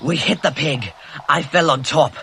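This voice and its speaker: A man voicing a bossy, bloodthirsty boy who leads the hunters, talking in a boastful, excited way. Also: on the high side, a little slow, gravelly, a little hiss or hum, exaggerated voice.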